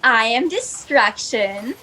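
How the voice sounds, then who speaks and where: A woman's voice: on the high side, expressive, slow, sharp tone, full character voice. A woman playing a sarcastic, cocky little bird that is a self-destruct program, in a comic sci-fi audio drama. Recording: noisy video-call audio.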